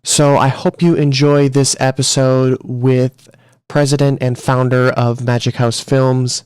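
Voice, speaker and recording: A man hosts an interview show at a middle pitch, his voice smooth and resonant. He introduces the guest loosely, with fillers and small restarts. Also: flat delivery, unhurried, darkish tone. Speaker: podcast host. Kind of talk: interview hosting.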